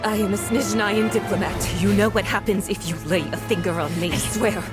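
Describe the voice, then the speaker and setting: An actress playing a haughty, mocking villainess in a video game cutscene, with a condescending, theatrical delivery. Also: female voice, mid-range voice, steady tone, fairly quick, over loud music, close to an everyday voice. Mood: shocked, desperate. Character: haughty, mocking villainess, a scornful foreign diplomat and harbinger.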